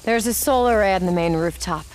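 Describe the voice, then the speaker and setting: A woman's voice: medium pitch, fast talker, close to an everyday voice, rough recording. An American actress in her thirties playing a determined, knowledgeable scientist in a post-apocalyptic video game. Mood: matter-of-fact. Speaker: game character, researcher. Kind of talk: naturalistic video game cutscene acting.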